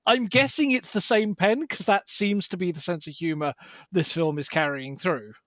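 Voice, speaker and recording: A man guest on a film podcast, talking in a casual, lively way. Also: high-pitched, normal speed, no room sound. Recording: dull lo-fi mic.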